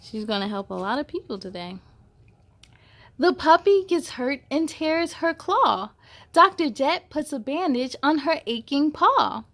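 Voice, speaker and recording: A woman's voice: high voice, expressive, unhurried, fairly bright tone. A woman reading a picture book aloud for children, expressively, addressing young listeners with questions and exclamations. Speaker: host of children's read-aloud story time.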